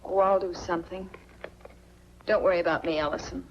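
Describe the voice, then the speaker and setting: An actress playing a gentle, guarded young widow with a husky voice and an American accent, in 1940s film style. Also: female voice, lowish voice, fairly even intonation, brisk, slight background noise, natural voice. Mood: guarded, firm. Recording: dull 1940s film soundtrack.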